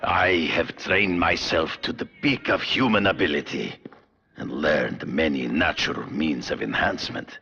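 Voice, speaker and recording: An actor playing a proud, solemn aging master hunter in a video game, speaking formally and gravely with deliberate weight. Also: male voice, sharp tone, lo-fi sound, full character voice. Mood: stern, proud. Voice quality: deep, gravelly.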